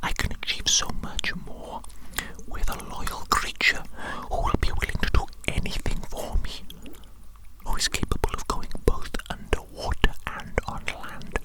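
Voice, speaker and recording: Whispering woman as a grandiose mad scientist. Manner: theatrical, repeats phrases slowly. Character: grandiose evil mad scientist doctor, scheming and self-important. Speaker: ASMR performer. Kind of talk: whispered ASMR roleplay monologue as evil doctor.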